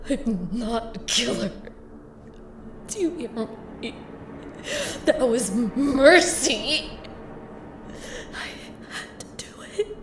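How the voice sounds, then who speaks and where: A woman playing a terrified young killer in anguished denial in a horror audio drama, desperate and halting. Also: fairly high pitch, lively intonation. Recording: echoey room with clear noise.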